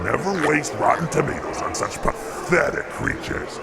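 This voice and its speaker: A man's voice: fairly high pitch, lively intonation, moderate pace, heavy background noise. A man voicing a bullying, scornful mutant frog slave guard who barks orders in an audio drama. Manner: barking orders, chanting nonsense croaks.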